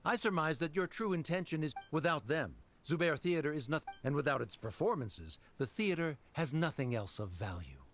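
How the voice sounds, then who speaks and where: An actor playing a cold, pedantic middle-aged scholar in an anime game, formal and condescending. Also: male voice, fairly high pitch, lively intonation, faint music behind. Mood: condescending, detached. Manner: formal, pompous, measured argument. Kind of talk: anime video game voice acting.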